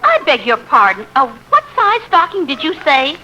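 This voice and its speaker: Courteous shop assistant, high bright voice, prone to astonishment, old radio comedy. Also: female voice, wildly swooping pitch, moderate pace, heavily acted. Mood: astonished. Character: polite female hosiery saleswoman, easily astonished. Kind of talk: 1950s radio comedy acting. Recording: dull, noisy old radio recording.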